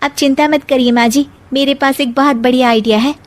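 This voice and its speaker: Woman as very high-voiced young daughter-in-law. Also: fairly even intonation, fairly quick, natural voice. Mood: helpful, confiding. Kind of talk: Hindi animated comedy story acting.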